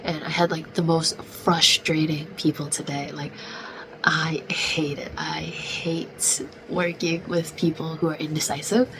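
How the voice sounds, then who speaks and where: A woman's voice: deep voice, flat delivery, a little slow. A young woman in her twenties chatting casually in a vlog, congested and close to losing her voice, filling her talk with "like". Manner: chatty, venting, many "like" fillers. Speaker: vlogger. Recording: noisy background.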